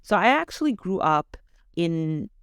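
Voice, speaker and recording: A woman's voice: lowish voice, big pitch swings, measured pace, smooth, no room sound, clean studio sound. A middle-aged woman from Canada with a North American accent, giving a conversational personal account as an interview guest. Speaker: interview guest, education advocate.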